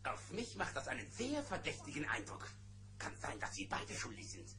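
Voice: mid-range voice, big pitch swings, normal speed, pushed and bright, slight background noise. A performer playing a suspicious, accusing gummi bear in a German children's audio play.

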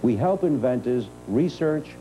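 A man's voice: medium pitch, lively intonation, measured pace, muffled recording, slight background noise. A man reading a scripted direct-response television commercial. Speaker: commercial announcer.

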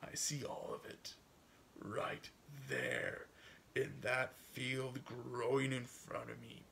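A man reading a story aloud as a proud, stubborn old farmer, folksy and musing, with a gravelly voice that drifts into hushed asides. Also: mid-range voice, very animated, takes it slowly, a little hiss or hum, exaggerated voice. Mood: dreamy, wistful. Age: older. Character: proud, wistful, stubborn old farmer dreaming for his son. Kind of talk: dramatic audiobook reading in first person.

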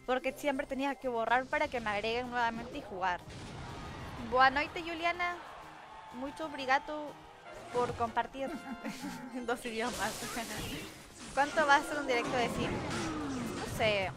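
A young woman live-streaming a game from home, chatting casually, thanking viewers and reading their messages aloud with frequent giggles. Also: a little high. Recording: clear game music underneath. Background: game music.

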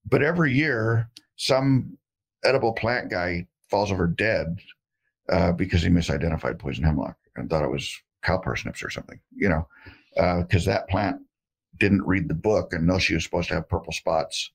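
Deep gravelly-voiced man, folksy and joking. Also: normal speed. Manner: folksy, joking, rambling with fillers. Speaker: herbalist guest. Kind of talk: casual interview talk. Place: indoor room with shelves of jars. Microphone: video call.

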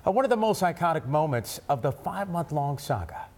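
A man's voice: a little high, very animated, brisk, very noisy. A man reading a scripted news introduction as a TV anchor.

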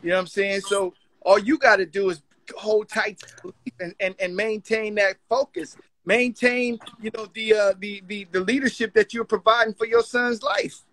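A man's voice: high-pitched, fairly even intonation, moderate pace, fairly bright tone, no room sound. A middle-aged man giving an informal motivational talk in an emphatic, urging way. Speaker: guest mentor, magazine editor.

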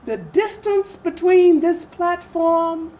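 An older woman giving a solemn, deliberate dramatic reading of historical oratory, with long pauses, in a small room. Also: very high voice, animated, warm tone, slight background noise. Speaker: readers theatre performer. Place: small furnished indoor room.

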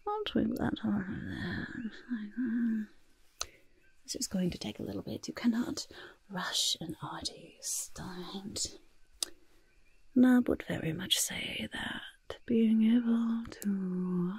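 A young woman voicing a grudging, smug goblin disguise artist in a breathy, raspy, soft-spoken ASMR roleplay, muttering reassurances close to the microphone. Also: on the high side, slow pace, full character voice. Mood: busy, soothing. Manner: refers to self by name, mutters and repeats reassurances. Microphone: close microphone, face close to camera.

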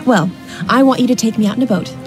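An actress plays a capricious young woman in a radio drama. Her voice is fairly high, playful and coquettish, forever teasing. Music plays behind her. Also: fast talker, close to an everyday voice. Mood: coy, teasing.

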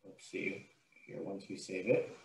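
Low-voiced man teaching in an echoey room. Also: steady tone, measured pace. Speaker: software trainer. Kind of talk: screen-share tutorial, explaining step by step. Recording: roomy, echoing sound.

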